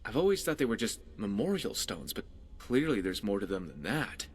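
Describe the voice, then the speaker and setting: A man's voice: lowish voice, very animated, normal speed. A man playing a wry, curious, easygoing pirate companion in a video game, talking in a sardonic, conversational way. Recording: background music under the voice.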